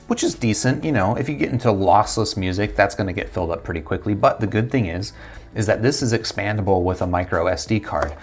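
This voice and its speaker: A man in his thirties with an American accent, giving a casual unboxing review as a tech reviewer on a lapel mic. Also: lowish voice.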